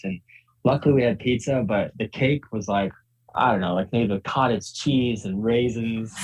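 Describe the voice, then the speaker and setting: A man's voice: fairly low pitch, low-quality recording. A young man, born in Ukraine and raised in Virginia, chatting casually as a podcast guest, often laughing and repeating words. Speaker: guest, professional tennis player.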